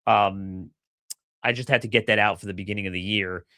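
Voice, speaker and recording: A middle-aged man hosting a solo political commentary show, slightly hoarse, energetic and opinionated, often repeating words as he starts a sentence. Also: on the low side, a little fast, no echo, studio quality. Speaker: podcast host.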